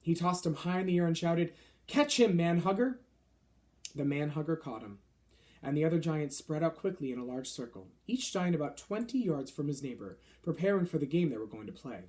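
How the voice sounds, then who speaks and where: A man's voice: fairly high pitch, lively intonation, fairly quick, dark tone. A man reading a children's novel aloud as scripted narration. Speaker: storybook reader.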